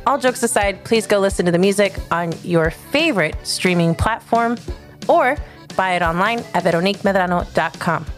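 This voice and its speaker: A woman's voice: medium pitch, normal speed, soft background music. A woman hosting an interview, asking questions in a playful, joking way. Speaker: podcast host. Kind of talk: casual interview chat.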